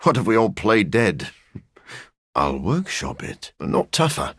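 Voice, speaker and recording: Give this game vampire a low, husky male voice. He is a brooding scientist with formal, articulate speech and dry wit. His pitch moves expressively, and the recording is close and dry. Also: a little slow, no echo, heavily acted. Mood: dry, wry. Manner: formal, articulate, dryly deadpan. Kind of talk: video game character acting.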